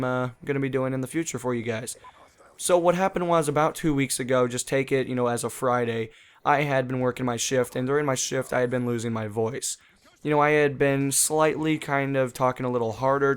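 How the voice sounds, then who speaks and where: A man's voice: mid-range voice, clear, resonant tone. A young man who hosts a gaming channel and talks casually over gameplay. He rambles in a chatty way, full of fillers.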